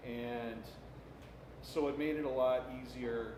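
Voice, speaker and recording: A man's voice: medium pitch, steady tone, takes it slowly. Middle-aged man talking in an echoey room. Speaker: craft brewer on a panel. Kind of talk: casual panel talk. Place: indoor room at a live panel event. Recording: echoey room, clearly noisy live stream.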